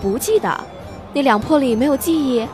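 A woman's voice: high-pitched, expressive, normal speed, music in the background. A woman playing a playful immortal in a fantasy audio drama, arch and teasing with mock reverence. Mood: needling.

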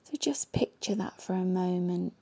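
A woman guiding a meditation in a gentle, soothing, half-whispered voice. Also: on the low side, slightly rough. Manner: gentle, slow and soothing instructions. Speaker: meditation guide. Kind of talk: guided meditation.

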